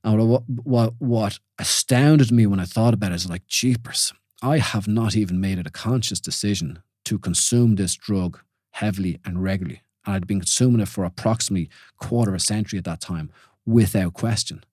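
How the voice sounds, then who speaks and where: Irish man in his forties, low-pitched. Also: a little fast, a little mellow, no echo, studio quality. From Ireland. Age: 40s. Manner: informal, questioning, many restarts and "you know". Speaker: podcast host. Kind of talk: reflective solo podcast talk.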